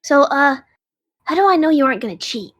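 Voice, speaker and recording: A woman's voice: high voice, lively intonation, fairly quick, fairly bright tone. A woman voicing a friendly, easygoing dragon who is eager to play cards. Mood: casual. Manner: easygoing, eager card-game enthusiast. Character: friendly card-playing dragon, casual and eager. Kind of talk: fan audio drama acting.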